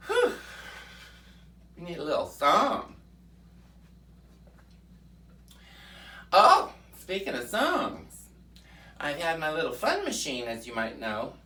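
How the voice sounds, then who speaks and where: Brief: a woman doing a preachy folksy pundit lady, bright and sharp-toned, pitch swinging all over, echoey room with the mic set back. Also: huge pitch swings, distant mic, full character voice. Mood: chatty gossiping. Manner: fiery, preachy, with drawn-out "mm-hmm" asides. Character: brash folksy right-wing woman pundit persona. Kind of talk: comic political rant in character.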